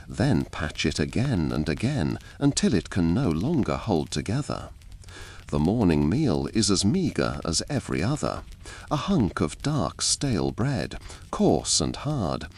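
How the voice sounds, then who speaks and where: A man reading a scripted second-person ASMR storytime narration in a measured, dramatic, deliberate way. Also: low voice, creaky, a little hiss or hum.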